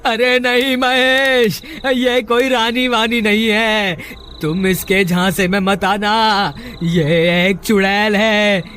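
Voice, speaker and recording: A man's voice: high voice, expressive, normal speed, slight background noise. A man playing anxious, earnest village brothers in a cartoon horror story, speaking in a dramatic, exclaiming way. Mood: urgent warning. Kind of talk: Hindi cartoon story acting.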